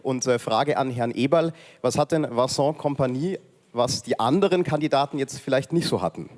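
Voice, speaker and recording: A man's voice: medium pitch, normal speed, a little mellow, in a large hall. A male journalist asking formal, polite questions at a press conference.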